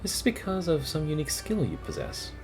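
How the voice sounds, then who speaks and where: A man voicing a polite, devoted young maid in a gentle, formal way, in a game story. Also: on the high side, wildly swooping pitch, a little fast, over music, voice pushed up. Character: soft-spoken, devoted young woman maid, polite and deferential.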